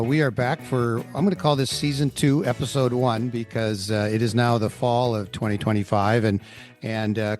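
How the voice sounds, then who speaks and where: A man hosting a podcast in an easygoing way, talking with frequent fillers. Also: mid-range voice. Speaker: podcast host.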